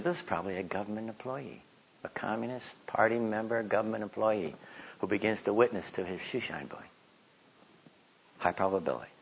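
A man giving informal religious teaching, telling stories and reading aloud in an animated way. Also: fairly low pitch, slightly rough. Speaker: Bible teacher giving a talk. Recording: slightly dull, lo-fi recording.

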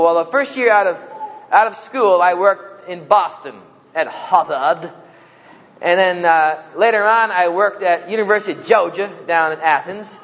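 A man with a New Jersey accent speaks to a campus audience in a fairly high voice, telling jokes and stories. The sound is dull and carries a long echo from the hall around him. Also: fairly bright tone. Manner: joking storyteller, acts out quoted voices. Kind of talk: humorous public talk.

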